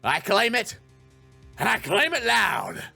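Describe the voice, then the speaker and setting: A man's voice: high-pitched, wildly swooping pitch, slow, gravelly, pushed and bright, husky, faint music behind. A man doing playful, theatrical gameplay commentary as he reads out game characters. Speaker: voice actor, gameplay host.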